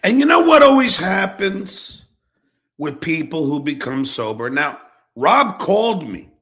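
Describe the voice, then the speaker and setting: A man hosting an online show delivers an angry, profane rant at a rival, confrontational and emphatic, with dramatic pauses. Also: sits high, very animated, fairly bright tone. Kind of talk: angry personal rant addressed to an absent rival.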